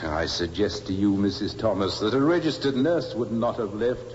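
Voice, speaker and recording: A man's voice: on the low side, moderate pace, darkish tone, close to an everyday voice. An actor playing a stern male defense barrister in a 1950s radio crime drama, formal and insistent in pressing witnesses. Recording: muffled old radio recording, reverberant, hissy.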